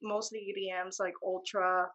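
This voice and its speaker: A woman's voice: medium pitch, flat delivery, moderate pace, a bit bright. Young woman with a Puerto Rican accent. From Puerto Rico. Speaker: guest, photographer and motion graphic designer. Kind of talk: casual interview answers.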